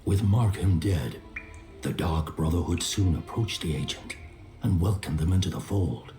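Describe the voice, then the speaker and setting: A man with a very deep voice tells a fantasy game story. His scripted narration is solemn, set in a roomy echo with faint music underneath. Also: moderate pace, dark tone.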